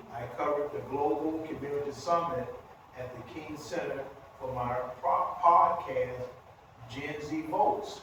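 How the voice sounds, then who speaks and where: A man in his seventies speaking in a recorded oral history interview, with deliberate, halting phrasing. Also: mid-range voice. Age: 70s. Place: echoey indoor room at a history center. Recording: very echoey room, distant mic, noisy.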